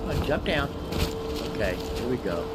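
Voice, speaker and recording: A man's voice: mid-range voice, sing-song, a little slow, very noisy. A man giving casual gameplay commentary, muttering asides and questions to himself. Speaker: gaming video host.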